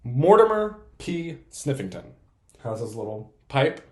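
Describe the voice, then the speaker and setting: A young man talks to camera in a casual vlog. His pitch swings widely as he goes, excitable and playful, with a self-deprecating streak. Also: a little slow, reverberant. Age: young adult. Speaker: vlogger and college program applicant.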